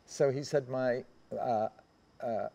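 A man in his seventies reminiscing as a panel guest on a theatre stage, speaking in a halting, tentative way. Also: medium pitch, steady tone, gravelly, warm tone. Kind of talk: conversational reminiscence.

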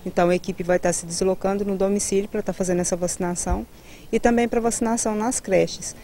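A woman in her thirties with a Brazilian Portuguese accent, answering interview questions as a health official, haltingly and with many tag words, into a reporter's mic outdoors. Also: medium pitch, steady tone, mellow, slight background noise. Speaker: public health immunization director. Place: outdoors in a building's garden. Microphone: handheld reporter microphone held toward her.

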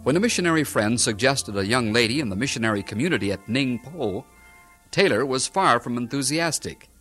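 A man's voice: medium pitch, animated, brisk, a bit bright, faint music behind. A man narrating an audio drama in scripted storytelling style.

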